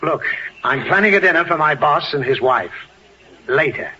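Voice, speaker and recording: Animated, sarcastic exasperated dad. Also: male voice, fairly high pitch, moderate pace. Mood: impatient. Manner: emphatic, sardonic. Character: irritable, sarcastic husband and father, easily exasperated. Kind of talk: 1940s radio comedy acting. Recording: dull, hissy 1940s radio broadcast.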